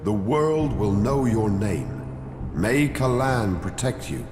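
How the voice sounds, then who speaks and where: A devout cleric in a fantasy game. His voice is low and formal, ringing with long hall reverb over clear music. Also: lively intonation, slow pace. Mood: reverent, grateful. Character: devout, solemn male cleric of a fantasy faith. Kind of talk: video game dialogue.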